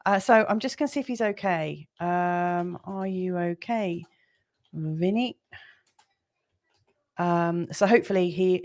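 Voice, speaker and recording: A woman hosting a casual live stream, with a slightly croaky voice and a rambling, chatty manner full of fillers. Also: lowish voice. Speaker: livestream host.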